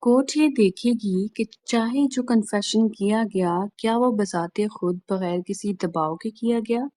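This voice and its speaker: Slow-paced Pakistani woman lecturing. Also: medium pitch, dark tone, no room sound. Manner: deliberate, instructional, restating points plainly. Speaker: law lecturer. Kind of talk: explanatory lecture mixing Urdu and English.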